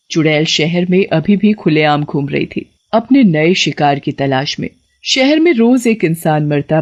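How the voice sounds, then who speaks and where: A woman's voice: fairly low pitch, darkish tone, lo-fi sound. A woman narrating a scripted story dramatically as a storyteller.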